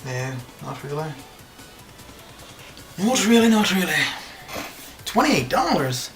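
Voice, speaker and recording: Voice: medium pitch, big pitch swings, slow pace. Rambling game streamer over faint music. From northern Canada. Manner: rambling, hesitant, many fillers, chuckles often. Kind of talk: casual live-stream chat with viewers. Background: faint background music.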